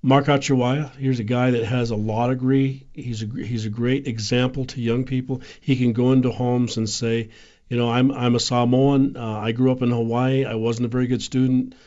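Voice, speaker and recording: A man speaking as a sports columnist guest, giving measured opinions in a studio discussion. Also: mid-range voice, flat delivery, darkish tone, lo-fi sound.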